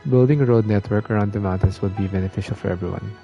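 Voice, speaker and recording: A man's voice: lowish voice, fast talker, muffled recording. A man streaming a game and reading its text aloud in a plain delivery. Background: game music.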